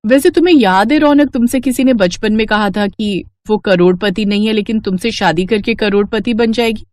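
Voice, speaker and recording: A woman's voice: mid-range voice, normal speed, darkish tone, lightly acted. A woman playing a determined, ambitious young woman from a poor family in a cartoon love story, bold and insistent. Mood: earnest, determined.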